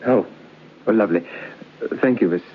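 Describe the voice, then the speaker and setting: A man's voice: on the low side, moderate pace, close to an everyday voice. An actor playing a nervous, polite bachelor in his thirties in an old-time radio drama, hesitant, stammering and apologetic. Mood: flustered, apologetic. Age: 30s. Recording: noisy, dull old radio recording.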